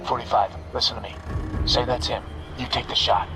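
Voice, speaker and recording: Male commanding officer played by a male actor: probing, clipped, no-nonsense, mid-range voice with score and rumble underneath. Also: fairly even intonation, fairly quick, gravelly, over music, lightly acted. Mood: firm, urgent. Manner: terse, probing. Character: firm, pragmatic male military commander. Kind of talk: dramatic game cutscene acting.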